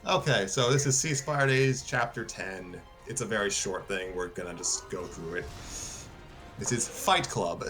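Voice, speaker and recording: A man's voice: on the low side, moderate pace, sharp tone. A man narrating a scripted story as host of a live stream reading. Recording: music behind the voice.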